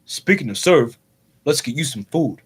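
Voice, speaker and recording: A man playing a kindly, patient policeman father talking warmly and conversationally with his young son. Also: medium pitch, animated, moderate pace, slightly rough. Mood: caring.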